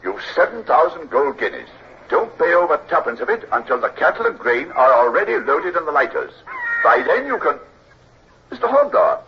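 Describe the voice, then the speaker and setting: An actor playing a brisk, authoritative older British navy captain with an English accent in an old-time radio drama. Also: male voice, on the high side, big pitch swings. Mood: stern. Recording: dull, noisy old radio recording.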